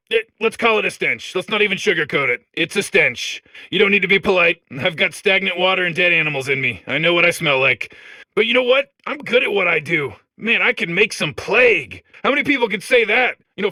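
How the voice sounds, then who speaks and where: A man's voice: high voice, bright, forceful tone, no echo, heavily acted. A man voicing a cheerful, chatty robot core full of sewage in a comedic game, eager and unashamed. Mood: chatty, apologetic. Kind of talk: comedic video game character monologue.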